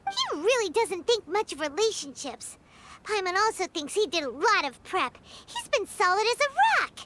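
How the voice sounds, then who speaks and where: A woman voicing a tiny, excitable, childlike fairy companion in a video game, exclaiming in a squeaky voice. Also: normal speed, a little hiss or hum, heavily acted. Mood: impressed. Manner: squeaky, exclamatory, speaks of self in third person. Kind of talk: video game cutscene acting.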